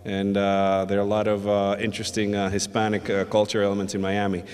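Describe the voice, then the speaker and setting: A man from the Dominican Republic giving a conference presentation, speaking in a measured, hesitant way with many filler sounds. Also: fairly low pitch, monotone, a little mellow, smooth. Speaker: invited conference speaker, emergency physician. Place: hotel ballroom at a summit. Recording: long reverb of a large ballroom.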